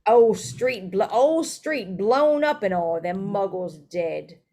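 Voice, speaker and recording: Woman putting on an excitable gossipy young bus conductor, loud and dramatic, slow drawn-out delivery, storybook reading. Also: medium pitch.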